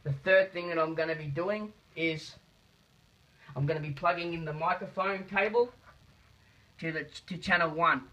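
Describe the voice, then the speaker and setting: A man giving a step-by-step practical demonstration in a deliberate, halting way, repeating his phrases, picked up by a phone camera in a small room. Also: fairly high pitch, bright, forceful tone. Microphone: phone camera held nearby. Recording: muffled phone video sound.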